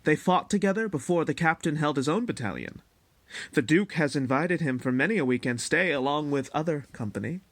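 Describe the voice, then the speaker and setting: A man's voice: on the high side, animated, a little fast, no echo. A man playing a discreet but gossipy servant of a Duke in a period audio drama, speaking with courteous, archly formal phrasing. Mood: knowing. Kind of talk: formal period stage-play acting.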